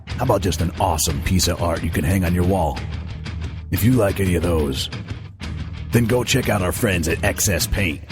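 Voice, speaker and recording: A man's voice: deep voice, normal speed. A man reading a scripted radio advertisement in an upbeat, salesy way. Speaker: ad announcer. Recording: loud music bed under voice.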